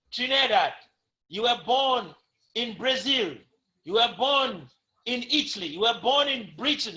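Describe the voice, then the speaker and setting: A man's voice: very high voice, sharp tone, rough recording. A man giving an impassioned talk on a talk show, with an emphatic, preaching cadence and much repetition. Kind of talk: impassioned speech to camera.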